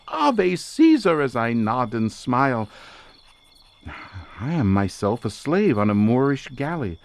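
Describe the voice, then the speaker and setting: Intense, entranced visionary researcher, widely swooping voice. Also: male voice, on the high side. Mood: ecstatic wonder. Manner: theatrical, incantatory, halting with repeated words. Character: obsessed male researcher in a drugged, visionary trance. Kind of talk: dramatic audio horror acting.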